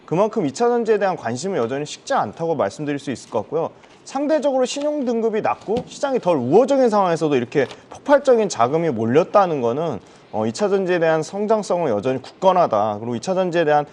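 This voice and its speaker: A man's voice: a little high, a little mellow. A man giving stock commentary as a guest on a broadcast, explaining his picks politely.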